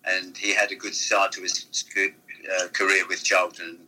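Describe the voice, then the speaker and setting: Older man with a bright, husky voice. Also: low-pitched, flat delivery, a little slow, low-quality recording. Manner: rambling anecdotal storytelling. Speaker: former football manager guest. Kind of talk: reminiscing interview.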